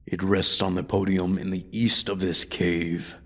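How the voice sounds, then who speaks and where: A man's voice: deep voice, little pitch movement, a little slow. A man voicing an ancient male dragon in a fantasy roleplay, haughty, bored and menacing, speaking formally and condescendingly. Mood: menacing, toying. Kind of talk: ASMR fantasy roleplay audio.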